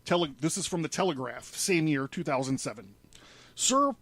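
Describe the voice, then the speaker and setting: A man's voice: a little high, animated, normal speed, a bit bright, dry recording. A man presenting a podcast in a studio, reading news articles aloud with informal asides. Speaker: podcast presenter laying out a case.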